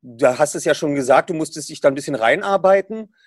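A man's voice: a little high, lively intonation, fast talker. A man hosting a podcast who reads a book passage aloud and then interviews a guest in a casual, eager way.

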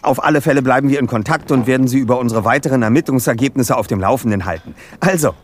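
A man's voice: medium pitch, fast talker, slight background noise, natural voice. An actor playing a clever, self-assured boy detective in an audio drama, speaking eloquently and precisely with a slightly pompous manner. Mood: polite, businesslike. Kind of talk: German audio drama acting.

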